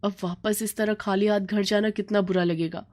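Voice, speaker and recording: A woman's voice: mid-range voice, fairly even intonation, normal speed, darkish tone, close to an everyday voice. A woman voicing a headstrong, complaining young working daughter in a storybook tale. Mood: worried. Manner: insistent, complaining.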